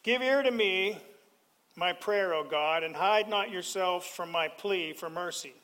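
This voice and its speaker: High-pitched pastor guy, middle-aged, bright voice, cracking jokes in his sermon. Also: a little slow, low-quality recording. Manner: animated preaching with humor.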